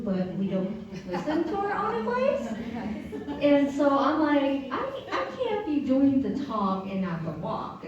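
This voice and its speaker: Mellow-voiced woman, reflective panelist. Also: fairly high pitch, slight background noise. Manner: reflective, conversational. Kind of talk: panel discussion. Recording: dull echoey room, mic far off.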